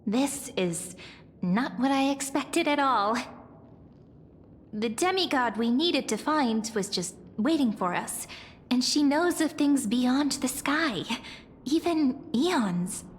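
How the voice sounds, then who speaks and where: High-voiced, cautious young traveler. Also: female voice, animated, slow pace, slight background noise. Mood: puzzled, curious. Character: young woman traveler, cautious, polite, inquisitive companion. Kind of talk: video game voice acting. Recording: hall reverb, music behind.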